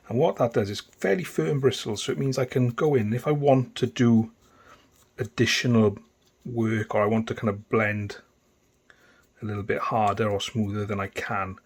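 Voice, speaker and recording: A man with a thick Welsh accent talking through a painting tutorial in a relaxed, chatty way, with many fillers and restarts. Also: mid-range voice, moderate pace, breathy edge. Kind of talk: casual step-by-step tutorial narration.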